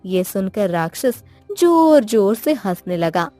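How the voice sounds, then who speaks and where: A woman telling a scripted moral story as an expressive narrator. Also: medium pitch, takes it slowly, no echo. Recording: music behind the voice.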